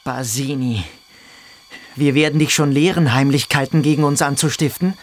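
An actor playing a menacing, sneering, bullying boarding-school pupil in a radio drama. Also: male voice, mid-range voice, steady tone, moderate pace, lightly acted. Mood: angry threatening. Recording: music behind the voice.

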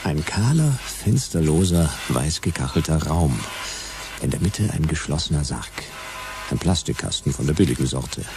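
Very deep, gravelly noir narrator. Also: male voice, moderate pace. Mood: brooding, laconic. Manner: laconic, deadpan, clipped phrases. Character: hard-boiled male detective narrating his own case. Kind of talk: noir first-person radio play narration.

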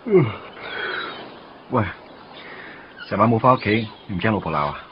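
A man's voice: lowish voice, sing-song, normal speed, noisy background. An actor playing a conflicted, protective young man in a Cantonese radio drama, with a casual, brooding Hong Kong delivery. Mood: casual, teasing. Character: conflicted young man, protective of his first love, evasive. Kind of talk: radio drama dialogue and inner monologue. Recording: muffled radio drama with music bed.

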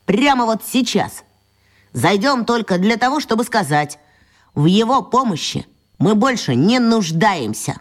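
A woman playing a brisk, stubborn schoolboy hero in a radio play. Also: mid-range voice, sing-song. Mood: firm, stubborn.

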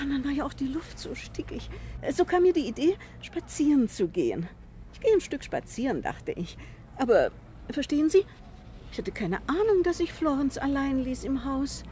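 An actress in a 1960s German radio play voicing a small, eccentric old spinster, plaintive, wistful and rambling. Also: female voice, high-pitched, dark tone.

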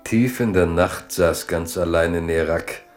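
Deep, slow, solemn male narrator. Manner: measured, solemn. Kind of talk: scripted literary radio play narration. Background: faint music.

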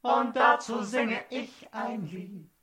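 An actress playing a silly crooning singing bot in a radio drama, with a drawn-out sing-song delivery. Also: female voice, fairly high pitch, loud music behind the voice. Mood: earnest, silly. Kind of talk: German radio drama acting.

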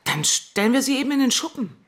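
An actress playing a brisk, practical, scheming manager of a home in a darkly comic radio drama. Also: female voice, sits high, very animated. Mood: matter-of-fact.

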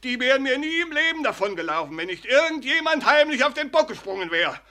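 A man's voice: very high voice, sing-song, quick pace, sharp tone, heavily acted. An actor playing a flustered, lamenting coachman in a radio play, wailing and exclaiming in agitation. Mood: bewildered. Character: flustered, lamenting male coachman of a country estate.

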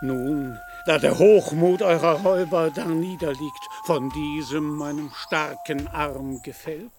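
An actor playing a proud, deluded, gallant knight in a radio play, speaking in grandiloquent archaic phrases. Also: male voice, on the high side, steady tone, background noise. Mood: grandly courteous. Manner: pompous, archaic, grandiloquent, measured delivery.

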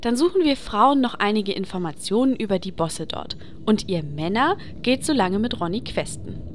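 A woman playing a pragmatic, deadpan fantasy game adventurer who leads her group, in a comedy audio play. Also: medium pitch, moderate pace, slight background noise, close to an everyday voice. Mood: matter-of-fact. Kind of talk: audio comedy parody acting.

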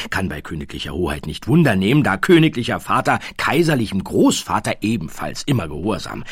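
A man's voice: sits low, slightly rough, no room sound, studio quality. A man voicing an older baron and army colonel for an audiobook, fawning and pompous, in military staccato. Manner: snappy military staccato, pompous deference, dropped articles. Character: obsequious older baron, stiff army colonel, eager to please. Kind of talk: audiobook character voice.

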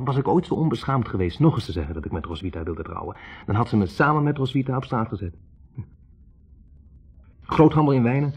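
A man's voice: lowish voice, husky. An actor playing a young man, a physics student, hesitantly recalling a troubled love in a conversational 1960s radio play monologue. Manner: hesitant, self-correcting, reflective. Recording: muffled old radio recording.

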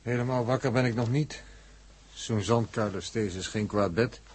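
A man playing a drowsy, laconic astronaut with a husky voice in a 1960s radio drama. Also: mid-range voice, moderate pace, a little mellow, lightly acted. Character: drowsy, laconic male astronaut just waking.

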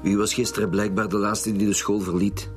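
A man acting as a gruff, no-nonsense Flemish police commissioner in a radio drama. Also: fairly low pitch, flat delivery, fairly quick, soft background music, close to an everyday voice. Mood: stern, probing. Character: gruff, no-nonsense male police commissioner leading murder inquiry. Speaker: actor in a radio serial.

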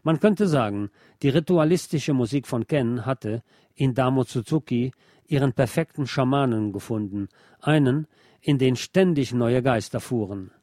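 A man reading a scripted music feature as a radio presenter, in a measured, articulate, literary manner. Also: mid-range voice, warm tone, dry recording, clean studio sound. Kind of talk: scripted narration.

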